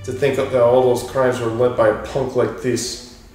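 A man voicing a cold, bullying police interrogator with a put-on Russian accent, speaking in deliberate threats. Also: mid-range voice, flat delivery, a little mellow, natural voice. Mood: cold menace. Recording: echoey room, off mic, game music behind.

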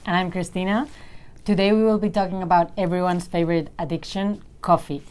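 A woman co-hosting a show, asking measured, slightly hesitant questions in an office lounge. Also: fairly low pitch, steady tone, a little mellow, clean tone. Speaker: co-host. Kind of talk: scripted show intro and interview questions.

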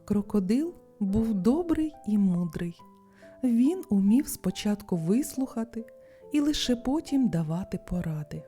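A woman's voice: medium pitch, sing-song, a little slow, dark tone. A woman storyteller narrating a bedtime fairy tale expressively for children. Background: music.

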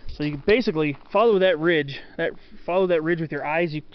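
A man's voice: on the high side, a little fast, dull audio. A man from Southern California narrating casually as he hikes a forest trail, pointing out trees, plants and ridges, tired from the heat. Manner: rambling, informative, names plants and landmarks. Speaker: hiking vlogger. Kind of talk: casual narration while hiking. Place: outdoors on a forest mountain trail. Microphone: body-mounted action camera.

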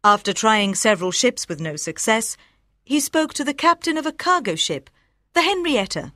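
Lively female audiobook narrator. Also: a little high, normal speed, dry recording, clean studio sound. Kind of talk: scripted storybook narration.

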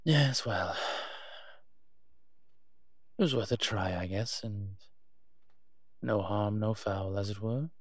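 Low, slow-spoken male vampire, acted by a man. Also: dry recording, clean studio sound. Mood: wry, musing. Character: wry, cautious male vampire father investigating a cabin. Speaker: voice actor in audio roleplay. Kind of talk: scripted audio roleplay acting addressed to the listener.

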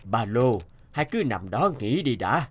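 Kind doctor, lively intonation. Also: male voice, medium pitch, husky. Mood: troubled. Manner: gentle, deliberate, sympathetic. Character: kind middle-aged male doctor, heavyset, compassionate. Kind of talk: Vietnamese cartoon dubbing.

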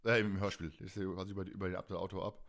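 A man's voice: low voice, flat delivery, racing, fairly bright tone. A man chatting casually as a podcast co-host, retelling things in colloquial language.

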